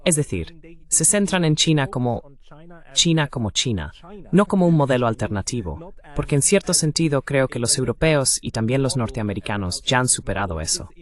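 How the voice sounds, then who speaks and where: Man, middle-pitched voice, translated voice-over, interviewer's commentary and questions. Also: very animated, moderate pace.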